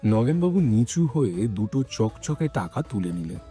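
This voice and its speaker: A man's voice: medium pitch, very animated, moderate pace, warm tone, faint music behind. A man narrating a scripted dramatic story as the narrator of an audio production.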